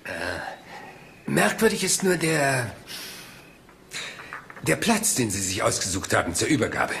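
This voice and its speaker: Rumpled, deceptively casual police inspector in a radio mystery; courteous, meandering, lively swooping intonation. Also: male voice, medium pitch, unhurried, gravelly, slight background noise, full character voice. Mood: musing, puzzled. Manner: rambling, hesitant, polite, deceptively casual questioning. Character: rumpled, absent-minded, polite but shrewd male police detective. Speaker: police inspector in a crime drama. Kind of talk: German crime radio drama acting.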